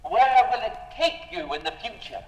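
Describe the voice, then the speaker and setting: An actor playing a formal, curious alien visitor who questions human ways in a 1950s radio drama. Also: male voice, high-pitched, lively intonation, moderate pace, a little mellow, lo-fi sound. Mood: puzzled, probing. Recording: echoey reverberant 1950s radio recording, hiss.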